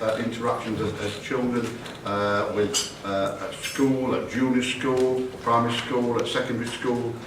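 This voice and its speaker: Older father of the bride, echoey and distant. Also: mid-range voice. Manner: jokey, rambling, self-correcting. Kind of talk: wedding speech. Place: wedding reception hall. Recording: echoey hall from far off, noisy.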